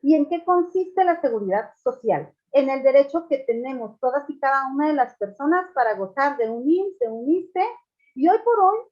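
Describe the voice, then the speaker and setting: A Mexican woman with a high-pitched voice gives a university law lecture. She explains in a steady teaching manner and poses rhetorical questions to her students. The sound is dull and lo-fi, like a video call. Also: normal speed. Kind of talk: online class lecture.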